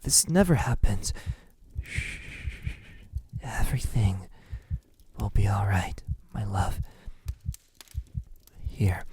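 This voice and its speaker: A man playing a possessive, lovesick vampire captor in an ASMR roleplay, speaking intimately in a husky voice that often drops into a whisper. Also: lowish voice, expressive. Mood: apologetic, soothing. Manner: slow, lingering, halting delivery.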